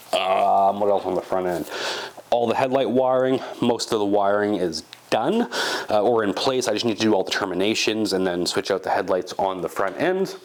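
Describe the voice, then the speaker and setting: Congested man giving a garage update. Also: fairly low pitch. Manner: relaxed, rambling, thinking aloud with many fillers. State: congested, sick. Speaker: channel host. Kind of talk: casual garage update vlog. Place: home garage workshop.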